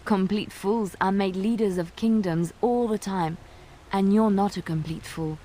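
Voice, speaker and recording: A woman's voice: medium pitch, measured pace, mellow, lightly acted, poor audio. A woman voicing a sly, frank adventuring companion in a video game, dry and assured in manner.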